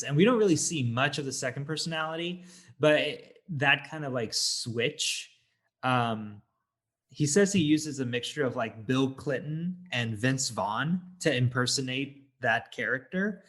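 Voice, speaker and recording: A man with an average-pitched voice chats as a co-host on a film review podcast. He speaks informally, piecing his thoughts together in short fragments. The delivery is conversational and somewhat halting. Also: a bit bright. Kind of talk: casual film review chat.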